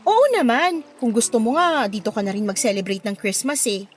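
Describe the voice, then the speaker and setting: An actress with a Filipino accent playing a friendly, playful young local woman in a radio drama, lively and coaxing. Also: a little high, fairly quick, soft background music, natural voice. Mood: cheerful, inviting. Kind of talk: Tagalog radio drama acting.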